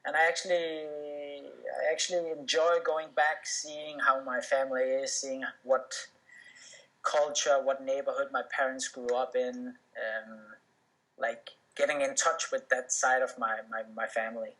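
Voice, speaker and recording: A man's voice: mid-range voice, level tone, a little slow, a bit bright, poor audio. A young man, a native Danish speaker, answering interview questions casually, with many fillers and restarts. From Denmark. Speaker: professional footballer, interview guest.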